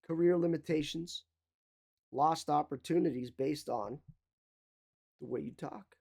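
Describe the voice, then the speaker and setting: A mindset coach giving an emphatic motivational talk, repeating key phrases, with a slight cough. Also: male voice, fairly high pitch, a little slow, creaky, darkish tone.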